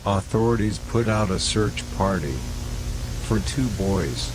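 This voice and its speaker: Slow, low-voiced man narrating horror. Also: over music. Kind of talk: scripted horror story narration. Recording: noisy, humming background.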